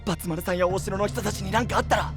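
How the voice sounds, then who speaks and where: An actor playing an earnest, sweet-hearted boyish knight with a western Japanese dialect, eager and pleading, in an anime voice drama. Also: male voice, high voice, steady tone, rapid-fire, music in the background. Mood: urgent pleading. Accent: western Japanese regional dialect.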